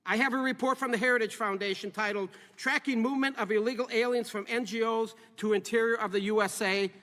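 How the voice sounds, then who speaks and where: High-voiced man giving an emphatic speech. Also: steady tone, fast, sharp tone, big-room sound. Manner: emphatic, rhetorical questions to the audience. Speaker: politician. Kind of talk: formal floor speech.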